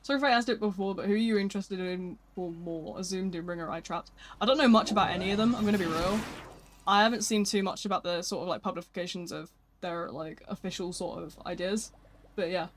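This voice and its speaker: Flat-voiced chatty gaming streamer. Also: medium pitch, fast, slight background noise. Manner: flat, unsung speaking voice; chatty, answers viewer messages. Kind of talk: casual live-stream chat while gaming.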